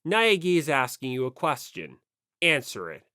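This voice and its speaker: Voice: medium pitch, wildly swooping pitch, moderate pace, sharp tone, clear, resonant tone, no room sound, studio quality, voice pushed down. An audiobook reader voicing a haughty, imperious teenage heir, curt and condescending. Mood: commanding.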